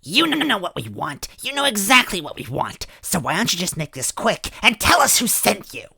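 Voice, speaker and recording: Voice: mid-range voice, sing-song, normal speed, creaky, sharp tone, breathy edge, no room sound, studio quality, pitched above the performer's own voice, exaggerated voice. A performer voicing a smug, theatrical villain from a storybook, gloating and menacing as he boasts. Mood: demanding. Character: smug, theatrical villain lieutenant disguised as a toad. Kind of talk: acted storybook villain dialogue.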